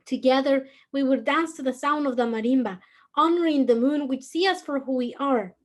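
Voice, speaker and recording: Teenage girl, high voice, Guatemalan Spanish accent, earnest measured reading. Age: late teens. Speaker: student reader. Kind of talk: reading personal prose aloud.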